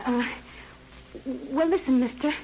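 An actress playing a polite, anxious but firm young shop clerk in an old radio drama. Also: female voice, high voice, measured pace, highs cut off. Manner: courteous, apologetic, earnest. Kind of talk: 1940s radio crime drama acting. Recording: thin band-limited old radio recording, hiss.

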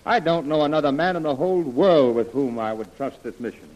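Urbane major, acted by a man, earnest and insistent, very lively intonation, vintage 1930s radio drama. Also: on the high side, brisk, lo-fi sound, natural voice. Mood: earnest pleading. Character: urbane, persuasive male army major, earnest and skeptical. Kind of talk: 1930s radio serial acting.

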